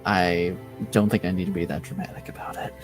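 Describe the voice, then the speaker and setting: A man playing an earnest, cautious, slightly awkward male guard in improvised tabletop roleplay, speaking haltingly and carefully. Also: deep voice, fairly even intonation, faint music behind, natural voice. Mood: concerned, awkward.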